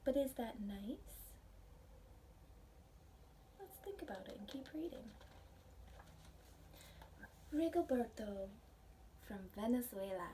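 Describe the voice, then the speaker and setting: American female preschool teacher for story time, soft mellow tone, gentle and unhurried, recorded at a distance. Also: on the high side, animated. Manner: gentle, child-directed, asks the listeners questions. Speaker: pre-K teacher. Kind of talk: children's picture book read-aloud with simple explanations. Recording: distant mic, faint background noise.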